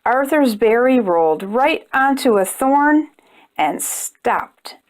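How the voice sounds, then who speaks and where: A woman's voice: fairly high pitch, expressive, slow. A woman hosting a children's storytime, reading aloud expressively and speaking directly to young listeners. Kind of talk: children's read-aloud narration.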